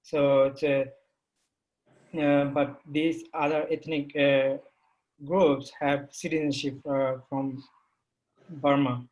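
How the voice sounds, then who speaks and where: A man who grew up speaking Rohingya, a panel guest answering questions in halting speech with many restarts and fillers. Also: mid-range voice, flat delivery, a little mellow, poor audio. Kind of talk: panel discussion.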